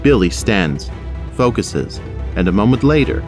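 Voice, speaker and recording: A man's voice: fairly low pitch, sing-song, measured pace, darkish tone, soft background music. A man narrating an action audio drama from a script.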